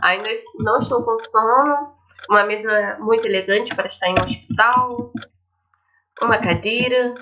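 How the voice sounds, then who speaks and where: A woman's voice: a little high, moderate pace, muffled recording, background noise, close to an everyday voice. A woman reading the inner monologue of a blunt, curious, amnesiac young man in a visual novel. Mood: puzzled, musing.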